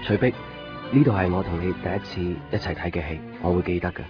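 A man's voice: sits low, fast, close to an everyday voice. An actor playing an earnest, lovestruck young man in a Hong Kong Cantonese radio drama. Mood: resigned. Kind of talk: naturalistic 1990s radio drama dialogue and inner monologue. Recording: muffled 1990s radio drama, music underneath.

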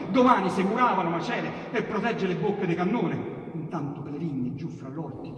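A man reciting verse dramatically, moving between loud cries and hushed whispers. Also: a little high. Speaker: reciter of dialect poetry. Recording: echoey hall, distant mic, broadcast on radio. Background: piano accompaniment.

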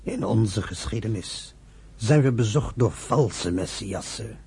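Trage, hese, strenge vrome vader. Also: male voice, on the low side, animated, dark tone, heavily acted. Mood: stern admonishing. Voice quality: husky, gravelly. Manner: solemn, measured, admonishing. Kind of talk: radio drama acting.

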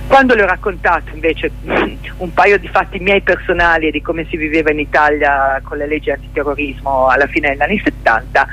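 A middle-aged woman with a husky voice, explaining her own work as a guest in a conversational radio interview over the telephone. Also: low voice, fairly even intonation, fairly quick, a bit bright. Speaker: interview guest, journalist and stage performer. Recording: dull telephone line to a radio studio.

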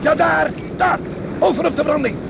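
An actor playing a skeptical island beachcomber in a radio play, exclaiming and repeating himself. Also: male voice, very high-pitched, moderate pace, vocal fry, exaggerated voice. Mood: astonished. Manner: exclamatory, repetitive. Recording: very noisy radio play, storm wind and sea. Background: roaring wind and waves.

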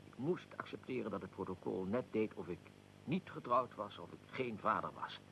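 An actor playing a proud, aggrieved middle-aged archduke in a radio drama, speaking formally and deliberately with a gravelly voice. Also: male voice, lowish voice, animated, pushed and bright, a little hiss or hum, heavily acted, low-quality recording. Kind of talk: radio drama, historical figure being interviewed.

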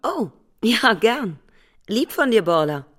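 A woman's voice: on the high side, very animated, slow pace, fairly bright tone, exaggerated voice. An actress playing a female office colleague in a radio drama, by turns sultry and anxious. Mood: friendly. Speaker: actor in a radio play.

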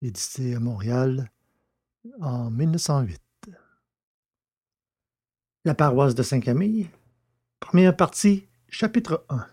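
A man narrating an old text as an audiobook in a solemn, declamatory, measured manner. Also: mid-range voice, animated, warm tone, husky.

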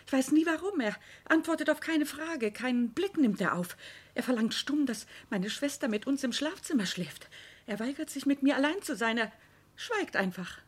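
A woman's voice: high voice, lively intonation, normal speed, husky. A woman playing a long-suffering but loyal wife in a radio drama, earnest and plaintive. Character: devoted, long-suffering wife defending her bullying husband. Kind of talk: German radio drama acting.

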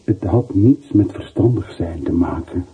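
Tired regretful middle-aged ex-soldier, really husky, halting, thin tinny phone line, old radio drama. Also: male voice, low voice, full character voice. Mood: weary, resigned. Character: weary, regretful middle-aged former soldier, long estranged. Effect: telephone voice filter. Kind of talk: radio play dialogue.

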